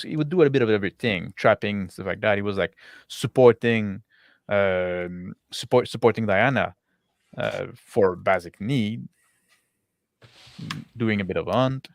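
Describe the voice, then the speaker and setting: A man improvising as game master in tabletop roleplay, halting and often repeating and rephrasing his words. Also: on the low side, creaky, no echo, studio quality.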